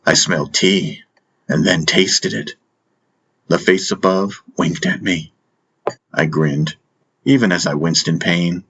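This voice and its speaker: A man's voice: sits low, slow, pauses often, fairly bright tone, breathy edge. A man narrating a fantasy audiobook in the first person, in a solemn, dramatic manner.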